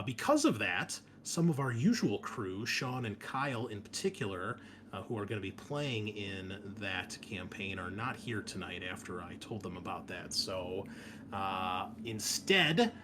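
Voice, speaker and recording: A man's voice: on the low side, noisy background. A man hosting and running a tabletop game session, chatting casually and welcoming his players in a friendly way. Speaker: game master and show host.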